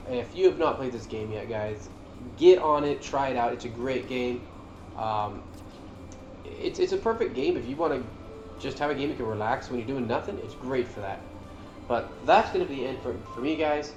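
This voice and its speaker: A man's voice: mid-range voice, lively intonation, moderate pace, muffled recording. A man giving casual, slangy and enthusiastic commentary as a gaming video creator. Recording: roomy echo under loud music. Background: loud game music.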